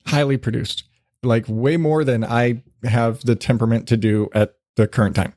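A man's voice: medium pitch, steady tone, moderate pace, a little mellow, no echo, studio quality. A man hosting a podcast in a casual, rambling, sweary chat style. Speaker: podcast host.

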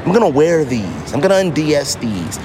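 A man's voice: on the high side, big pitch swings, normal speed, noisy background. A man hosting a casual solo podcast, talking emphatically and repeating phrases to drive them home. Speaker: podcast host.

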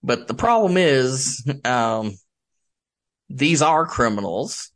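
A man from the United States being interviewed as an author, explaining things casually and haltingly as he searches for words. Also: medium pitch, sing-song, fairly bright tone. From United States, Michigan.

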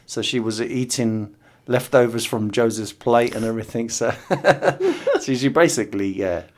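A man's voice: medium pitch, sing-song, unhurried. A middle-aged man from the UK, a podcast guest talking casually with many fillers and restarts. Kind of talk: casual interview conversation.

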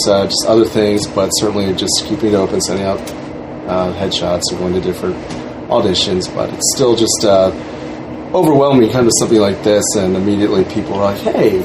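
A man being interviewed, telling a casual anecdote and acting out the people he quotes. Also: fairly low pitch. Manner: acts out quoted exchanges. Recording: noisy room with background hum.

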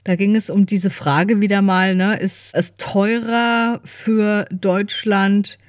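A woman's voice: mid-range voice, steady tone, takes it slowly, no room sound, studio quality. A middle-aged woman co-hosting a podcast in casual, colloquial conversation, often adding little tag questions. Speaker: podcast co-host. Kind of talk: casual chat.

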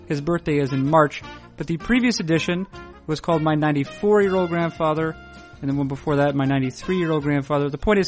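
A man hosting a podcast, talking in a dry, wordy, formal deadpan. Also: fairly high pitch. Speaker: podcast host. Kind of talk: deadpan scripted intro and ad read.

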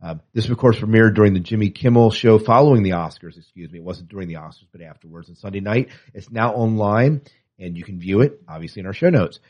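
Low-voiced man, dull lo-fi sound. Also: quick pace. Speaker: podcast co-host. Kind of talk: casual entertainment news chat. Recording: dull, lo-fi podcast audio.

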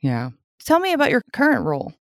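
A woman's voice: fairly high pitch, huge pitch swings, fast, no echo, studio quality. A woman hosting an interview podcast, friendly and laughing along with her guest. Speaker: podcast host. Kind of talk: casual interview chat.

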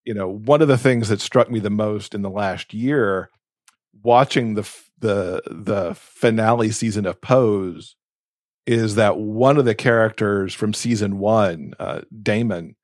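A man's voice: on the low side, no room sound, clean studio sound. An American man in his fifties from Alabama, chatting casually and reflectively as a podcast host. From Alabama, United States. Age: 50s.

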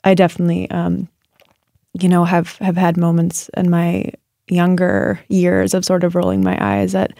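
A woman's voice: lowish voice, moderate pace, gravelly, warm tone, dry recording, studio quality. A woman writer answering interview questions in a thoughtful, searching way, with many fillers and restarts. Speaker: writer and guest.

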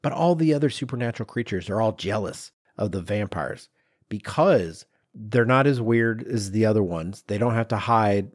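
A man's voice: fairly low pitch, lively intonation, normal speed, no room sound, studio quality. A man co-hosting a podcast in casual chat, rambling through hypotheticals and acting out imagined bits of dialogue. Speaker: podcast co-host.

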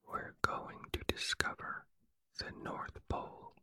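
A storyteller acting out a young storybook boy who takes charge kindly. He explains things in a slow, assured whisper with a bright, dry sound. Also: no echo. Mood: assured, instructing. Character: kindly, confident young boy leading his toys.

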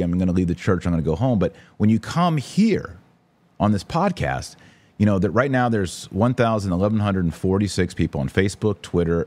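Deep-voiced man, emphatic podcast host. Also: darkish tone, no room sound. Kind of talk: opinionated live commentary, reading and answering listener messages.

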